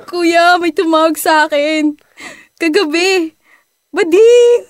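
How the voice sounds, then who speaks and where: Woman playing a girlish little sister, amateur radio play, very high pitch, slow, whiny, melodramatic, dry recording. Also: no echo. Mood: tearful, whiny. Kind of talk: amateur radio drama acting.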